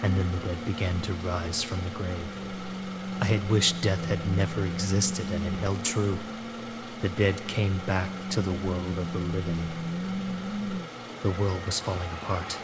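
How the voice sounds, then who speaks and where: Low-voiced man narrating horror, grave. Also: heavy background noise. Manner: grave, sombre. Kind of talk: dramatic first-person horror narration.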